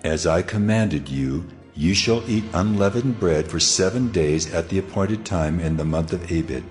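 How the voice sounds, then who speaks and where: A very low, solemn male voice of God acted by a man in a dramatized audio Bible. He pronounces law with measured authority and command, with music running underneath. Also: a little mellow, lightly acted. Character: God of Israel, solemn commanding lawgiving deity.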